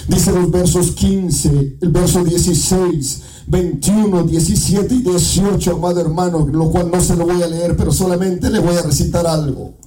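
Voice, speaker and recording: Guatemalan male preacher, high and impassioned, distant mic, music behind. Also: fairly even intonation, moderate pace. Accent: Guatemalan Spanish. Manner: fervent, often shouting and half-singing his phrases. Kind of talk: evangelical sermon. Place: church.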